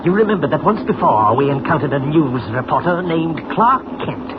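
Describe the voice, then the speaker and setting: An actor playing a cunning criminal mastermind in a 1940s radio serial, speaking formally and precisely with cool authority. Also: male voice, a little high, sing-song, moderate pace. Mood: cool, commanding. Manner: formal, precise, coolly imperious. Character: smooth, cunning, commanding male criminal mastermind. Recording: muffled, very noisy vintage radio recording.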